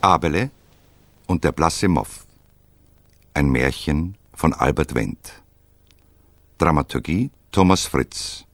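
A male announcer with an extremely low voice reads radio credits formally. He is slow and matter-of-fact, in a dry studio sound. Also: animated, dry recording.

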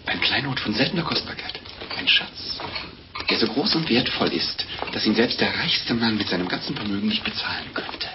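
Muffled old recording, actor as jovial unctuous monk. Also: male voice, on the low side, animated, moderate pace, close to an everyday voice. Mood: pious, proud. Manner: unctuous, fatherly, theatrical. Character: jovial, fussy, pious French mendicant monk. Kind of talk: 1950s German radio drama acting. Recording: muffled, noisy vintage 1950s recording.

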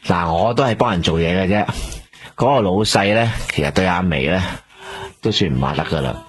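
An actor playing a smooth-talking middleman who coaxes a woman on a boss's behalf in a radio drama. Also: deep voice, lively intonation, fairly quick. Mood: coaxing. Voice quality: wheedling, persuasive. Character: smooth-talking middleman running errands for a boss. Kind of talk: Hong Kong radio drama acting.

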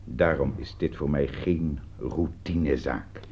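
Deep-voiced, sardonic police commissioner. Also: male voice, slow, darkish tone, breathy edge, full character voice. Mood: grave, probing. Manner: dry, sarcastic, probing. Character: gruff, sardonic, sceptical police commissioner investigating a murder. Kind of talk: Dutch radio play acting. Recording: dull, lo-fi radio play recording.

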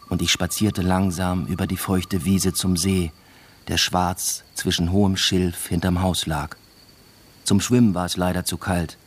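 An actor playing a brooding academic father who narrates his own story in a radio drama, subdued and introspective. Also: deep voice. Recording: music bed behind the voice.

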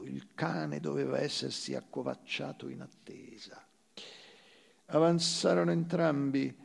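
A male actor narrates literary prose in a dramatic reading style. The voice is fairly high for a man, yet husky and full of gravel. He reads the story as a stage performer would.

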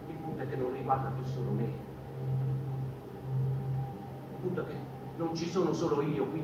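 A woman's voice: low voice, natural voice. A woman performing an insistent, impassioned theatrical monologue as a defiant figure from a mouse folk. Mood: searching, resolute. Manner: insistent, repeating phrases. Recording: echoey, distant, noisy room recording.

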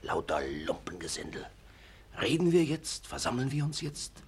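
A man's voice: medium pitch, sing-song, moderate pace, slight background noise. An actor playing an indignant, worried old-time townsman, grumbling emphatically in a classic radio play. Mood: indignant, alarmed.

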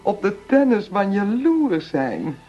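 Casting: excitable snob of a wife, very animated and gushing, radio drama with dull sound. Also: female voice, mid-range voice, slow pace. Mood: surprised, gleeful. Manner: gushing, exclamatory. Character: snobbish, excitable, status-hungry wife. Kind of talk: radio play acting. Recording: dull radio recording, faint music.

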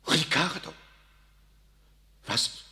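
An actor playing a stern, commanding older priest superior in a 1960s radio drama, admonishing with sharp outbursts. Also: male voice, drawn-out words, heavily acted. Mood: alarmed. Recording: reverberant studio stage.

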